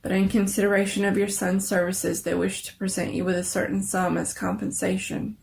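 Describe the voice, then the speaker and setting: Woman as a subdued, formal male messenger, creaky. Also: medium pitch, little pitch movement, brisk, darkish tone, slight background noise, natural voice, poor audio. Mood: formal, apologetic. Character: formal, reluctant company messenger, subdued man.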